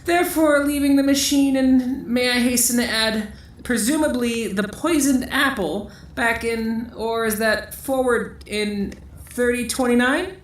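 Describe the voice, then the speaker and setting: Sci-fi droid sidekick, female actor: evasive, pedantic, over-polite chatterbox, high register, echoey. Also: unhurried, natural voice. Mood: rambling, sheepish. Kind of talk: sci-fi audio drama acting.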